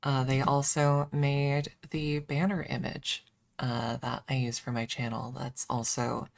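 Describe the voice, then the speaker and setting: A woman streamer chatting casually in a soft, hesitant ASMR style with playful self-deprecation, close to the microphone. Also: a bass voice, level tone, measured pace, creaky. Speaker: ASMR livestreamer. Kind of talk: ASMR, casual rambling chat.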